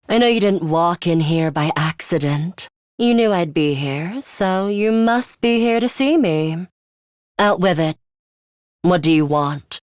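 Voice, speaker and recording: A woman's voice: on the low side, dry recording, clean studio sound. A woman voicing a cold, ruthless young female fighter in an English video game, composed, blunt and commanding, with a bloodthirsty streak.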